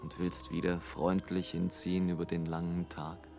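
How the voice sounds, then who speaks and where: An actor playing a melancholy airship aeronaut in a literary radio play, speaking solemnly and poetically in a hushed manner. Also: male voice, sits low, completely flat delivery, moderate pace, vocal fry. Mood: solemn, yearning. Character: melancholy male airship aeronaut addressing the rising sun. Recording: music behind the voice.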